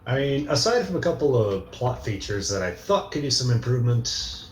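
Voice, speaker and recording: A man's voice: mid-range voice, big pitch swings, normal speed, a crowd in the background. A man giving his opinions casually as a panelist on a group reaction show. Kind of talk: casual review chat. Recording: roomy echo with faint noise.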